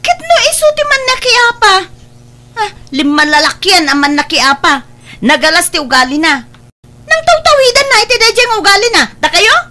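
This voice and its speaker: A woman's voice: very high voice, sing-song, normal speed, pushed and bright, a little hiss or hum, exaggerated voice. A woman with a Filipino accent playing a hot-tempered, confrontational young wife who scolds and taunts in a comedy drama. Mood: indignant.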